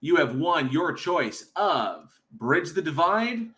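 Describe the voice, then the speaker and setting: A man's voice: fairly high pitch, sing-song, takes it slowly, a bit bright. An American man hosting a casual livestream, rambling with many fillers and reading chat aloud from home. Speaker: livestream host.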